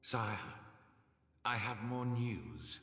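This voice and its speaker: Slow, hesitant courtly advisor. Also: male voice, mid-range voice, lively intonation, a bit bright. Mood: hesitant, deferential. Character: cautious, deferential male advisor to a lord. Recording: echoing stone hall.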